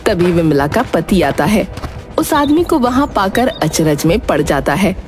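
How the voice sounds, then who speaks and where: A woman's voice: fairly low pitch, sing-song, unhurried, darkish tone. A woman narrating a scripted folk tale as the storyteller. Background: music.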